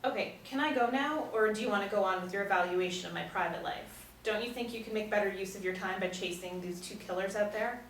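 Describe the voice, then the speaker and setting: Woman reading as a fast-talking defiant actress. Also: mid-range voice, fairly bright tone, natural voice. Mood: defiant, irritated. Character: defiant, guarded famous actress under interrogation. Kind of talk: table read of a TV pilot script. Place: small meeting room, seated in a row. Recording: echoey room, distant mic, faint noise.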